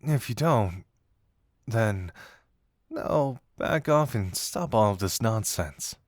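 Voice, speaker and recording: Flirty, self-deprecating male detective, played by a man, for romantic roleplay audio. Expressive pitch, hesitant and sincere, dry intimate sound. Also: slow, dry recording. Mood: shy, earnest. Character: flirty, self-deprecating detective confessing shyly as secret admirer.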